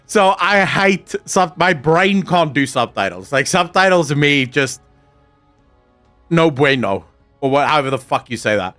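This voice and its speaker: A young South African man, a native Afrikaans speaker, talks in English with an Afrikaans accent while he answers his live-stream chat. He rants, jokes and swears freely. His voice sits a little high, and soft music plays under him. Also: lively intonation, unhurried. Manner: profane, ranting and joking.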